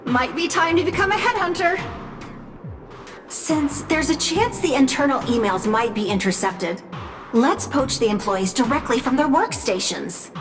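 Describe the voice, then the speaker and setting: A crisp, high-voiced business assistant. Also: female voice, expressive. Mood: scheming, upbeat. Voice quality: crisp, brisk reporting delivery. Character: efficient female business assistant, eager and calculating. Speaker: assistant to the boss. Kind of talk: game cutscene voice acting. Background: game music.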